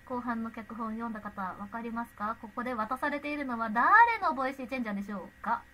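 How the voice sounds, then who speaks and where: A woman directing a voice drama rehearsal in a casual, excitable, effusive way, often repeating her phrases. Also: fairly high pitch, fast talker, noisy background.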